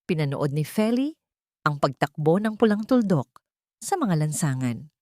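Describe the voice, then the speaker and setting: Low-voiced woman narrating a drama. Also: very animated, unhurried, dark tone, no room sound, studio quality. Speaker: storyteller.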